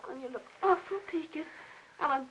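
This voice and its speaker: An actress playing a fussy, talkative, well-meaning middle-aged aunt in an old-time radio drama, exclaiming and easily aggrieved. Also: female voice, high-pitched, normal speed, fairly bright tone, lo-fi sound. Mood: fussy, scolding. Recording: hissy old radio recording.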